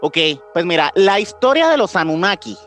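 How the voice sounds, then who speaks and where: A man's voice: high-pitched, big pitch swings, normal speed, a bit bright. A man with a Puerto Rican accent hosting a podcast, explaining things informally with slang and repeated phrases. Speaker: podcast host. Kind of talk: casual podcast talk with explanations. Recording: music playing behind the voice. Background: music.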